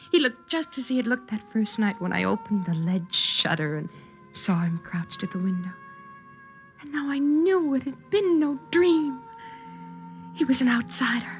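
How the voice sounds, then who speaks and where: An actress playing a lonely, romantic, wistful married woman in a 1950s radio drama, speaking earnestly. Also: fairly high pitch. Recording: dull vintage 1950s radio recording.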